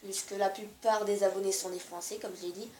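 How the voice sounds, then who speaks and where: A woman's voice: medium pitch, flat delivery, brisk, warm tone, reverberant. A woman with a cold, giving hesitant step-by-step building instructions over gameplay. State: stuffy with a cold. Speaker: gaming video creator.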